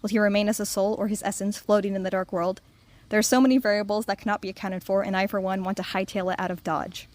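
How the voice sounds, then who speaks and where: A woman's voice: mid-range voice, fairly even intonation, fast, darkish tone. A woman giving a prepared listener monologue, articulate and earnest. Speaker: listener sending a voice submission.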